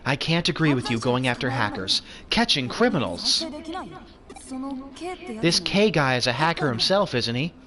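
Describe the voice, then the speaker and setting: A man's voice: mid-range voice, sing-song, normal speed, a crowd in the background, close to an everyday voice. A man voicing an anxious teenage best friend in a game. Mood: worried.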